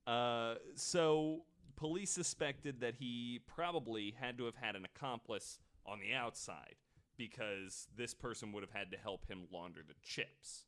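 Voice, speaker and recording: A man's voice: medium pitch, a little slow, fairly bright tone. A man hosting a podcast, telling a true-crime story in a casual, conversational way with the odd swear word. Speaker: podcast host.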